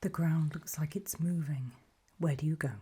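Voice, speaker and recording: A woman voicing a calm, ominous gatekeeper in a hushed, breathy ASMR roleplay, speaking mostly in deliberate whispers with pauses. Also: deep voice. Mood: quietly instructive. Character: calm, ominous female gatekeeper briefing travelers. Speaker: ASMR performer.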